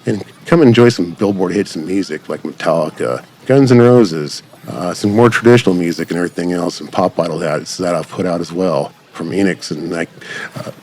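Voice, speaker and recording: A man's voice: deep voice, fairly quick, a little mellow. A man giving casual, unscripted commentary as host of a gaming channel, rambling along in long run-on sentences. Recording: music over the voice. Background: music.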